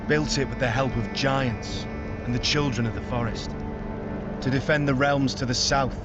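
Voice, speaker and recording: An actor playing a solemn, dutiful young northern commander in a video game cutscene, speaking in a grave, measured way. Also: male voice, mid-range voice, steady tone, natural voice. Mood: grave, explaining. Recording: dull muffled audio under loud music.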